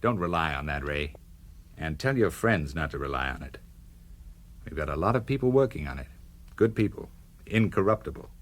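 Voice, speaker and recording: An actor playing a principled, hard-edged American senator in a radio drama, firm, cool and uncompromising. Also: male voice, extremely low pitch, lively intonation, normal speed, pauses often. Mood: cold resolve.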